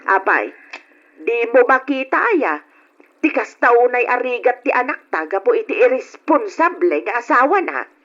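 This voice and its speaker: Elderly mother, argumentative, high voice, animated swings, old radio drama, dull lo-fi sound. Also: normal speed. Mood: exasperated. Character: scolding elderly wife and worried mother, firmly opinionated.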